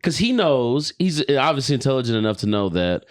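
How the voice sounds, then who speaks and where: A man's voice: medium pitch, big pitch swings, fairly quick. A man co-hosting a podcast, chatting casually and giving his opinions in slangy talk peppered with swearing. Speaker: podcast co-host.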